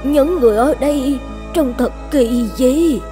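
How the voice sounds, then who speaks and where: A woman voicing a kind, selfless elderly village midwife and grandmother in an animated folktale, speaking gently and earnestly. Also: sits high, unhurried, soft background music. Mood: anxious, astonished.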